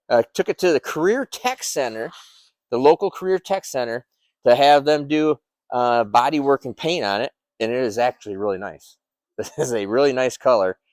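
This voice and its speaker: Man, fifties, engine builder, rambling enthusiastic chat, middle pitch, dry close sound. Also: no room sound. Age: 50s. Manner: rambling, lots of "uh" and restarts, enthusiastic. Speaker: engine builder and shop owner. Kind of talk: casual walkaround of a project car.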